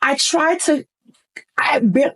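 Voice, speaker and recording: A young American woman talking in a casual conversation, earnest and halting. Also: sits high, a bit bright. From United States.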